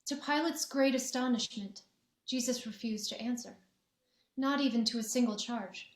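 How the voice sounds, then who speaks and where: A woman's voice: a little high, moderate pace, reverberant. A woman narrating a scripture passage in a church, reading evenly and carefully. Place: church sanctuary.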